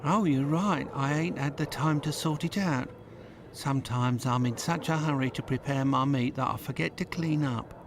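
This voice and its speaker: A man's voice: mid-range voice, normal speed, lightly acted. An actor playing a blunt, unbothered man, heard as game audio with noise behind. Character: gruff working-class London man, unbothered. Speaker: game character. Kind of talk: video game voice acting.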